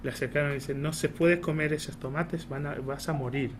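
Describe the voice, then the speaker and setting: A man speaking Spanish as a second language, with an Argentine accent. He talks in a halting way, stopping on fillers and restarting his phrases. Steady background noise runs under the recording. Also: on the high side. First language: Hebrew. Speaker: embassy spokesperson giving a talk. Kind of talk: prepared public talk with frequent hesitations. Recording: noisy room recording.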